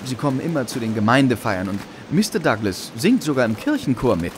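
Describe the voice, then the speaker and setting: A man's voice: medium pitch, big pitch swings, fairly quick, background noise, lightly acted. An actor playing an eager, deferential village policeman in a German radio drama, hesitant and polite.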